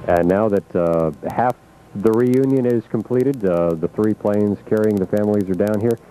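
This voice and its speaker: A man reporting live on radio news, unscripted, measured and reflective, with searching pauses. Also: fairly low pitch, dark tone. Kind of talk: live unscripted event coverage. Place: outdoors at an airport. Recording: muffled, noisy 1980s radio aircheck.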